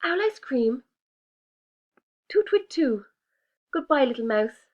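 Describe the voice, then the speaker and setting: A woman voicing a hungry owl in a children's storybook, hooting in a high voice, on a dull lo-fi recording. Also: sing-song, slow, full character voice. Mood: frightened.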